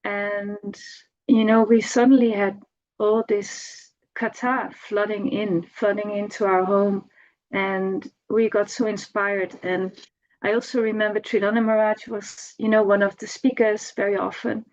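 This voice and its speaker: A middle-aged woman giving an informal spoken remembrance, halting as she searches for words and repeats herself. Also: mid-range voice, flat delivery, a little mellow, highs cut off. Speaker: devotee giving a personal homage.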